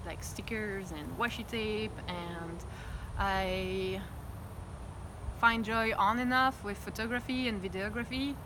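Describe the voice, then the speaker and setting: Lady in her 30s, mid-pitched, chatting reflective on a phone outside, cars going by. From Belgium. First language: French. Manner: reflective, open and talkative. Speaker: interviewee. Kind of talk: candid personal interview. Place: outdoors in front of her house. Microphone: phone camera. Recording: outdoor phone recording, traffic noise.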